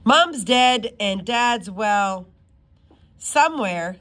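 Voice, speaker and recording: Woman voicing a cheeky, high-pitched schoolgirl. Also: animated, drawn-out words, a bit bright, heavily acted. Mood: breezy candor. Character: cheeky, self-assured schoolgirl with sly wit.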